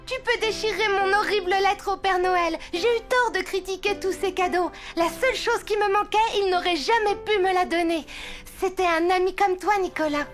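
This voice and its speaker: Sincere, spirited little cartoon girl, extremely high childlike voice over music. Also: fairly even intonation, normal speed. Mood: tender, regretful. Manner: earnest, childlike. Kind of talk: French animated series dubbing.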